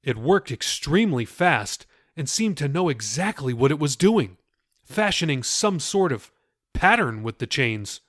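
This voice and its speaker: A man's voice: fairly high pitch, very animated, measured pace, a bit bright, dry recording, clean studio sound. A man reading his own novel aloud as an audiobook, with dramatic shifts in pace. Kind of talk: audiobook narration.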